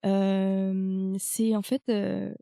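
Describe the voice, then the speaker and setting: A young woman with a French accent talks as a guest, pausing often and filling gaps with little hesitations. Her voice is warm and mellow, recorded close and dry with no room sound. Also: medium pitch, flat delivery, gravelly, clear, resonant tone, no echo, faint music behind. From France. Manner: hesitant, many fillers. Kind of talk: casual conversation.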